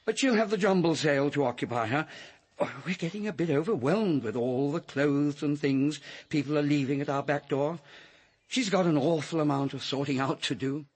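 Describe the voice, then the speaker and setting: A man's voice: a little high, normal speed, darkish tone, breathy edge. An actor playing a worried, apologetic older English village clergyman in a radio drama.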